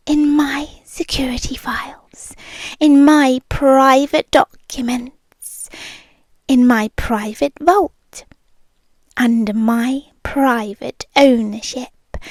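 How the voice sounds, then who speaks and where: A young British woman voicing a calm, controlling rich girlfriend in an ASMR roleplay, speaking slowly and softly and often whispering close to the mic. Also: sits high, no room sound. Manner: slow, soft-spoken, often whispering close.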